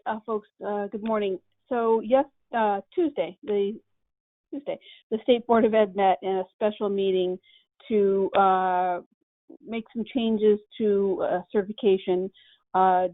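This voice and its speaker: A woman speaks over a tinny cell phone connection with no room sound. Her mid-pitched voice is conversational and halting as she gives an informal update. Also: fairly even intonation, no room sound. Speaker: policy presenter.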